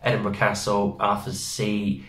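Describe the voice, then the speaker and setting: Man, thirties, casual rambling vlog host, lowish voice, roomy echo, distant mic. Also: completely flat delivery, measured pace, a bit bright. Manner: chatty, rambling with fillers. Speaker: travel vlogger. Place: small hotel room, indoors. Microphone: camera in front of seated pair. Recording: echoey room, mic at a distance.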